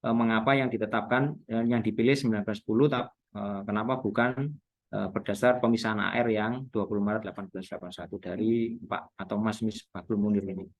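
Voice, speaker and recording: A man's voice: on the low side, steady tone, a little fast. A man with a Javanese Indonesian accent giving an informal explanatory webinar talk, going step by step and spelling out terms as a speaker on local history.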